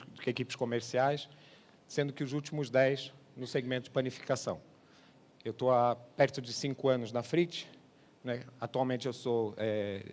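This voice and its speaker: A man with a medium-pitched voice and a Brazilian accent carrying a Portuguese tinge. He presents at a business conference in a conversational, explanatory way, often adding small tags to check that listeners follow. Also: darkish tone. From Brazil (born Rio de Janeiro) and Portugal. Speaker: sales manager giving a conference talk. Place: trade congress presentation space.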